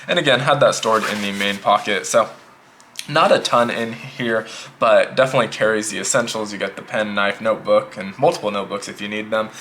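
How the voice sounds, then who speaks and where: A man casually reviewing a notebook pouch in a relaxed, rambling way, a little sick and slightly hoarse. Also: lowish voice, a little fast, a little hiss or hum. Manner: relaxed, rambling, repeats himself. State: losing his voice, a bit sick. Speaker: EDC gear reviewer.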